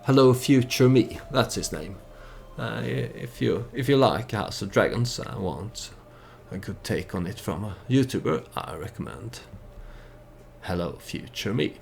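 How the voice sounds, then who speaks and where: A man narrates his strategy game playthrough in a low, creaky voice. He speaks very slowly and haltingly, working out the story as he goes in a casual way. Faint noise sits behind the voice. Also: expressive. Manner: hesitant, halting, thinking aloud.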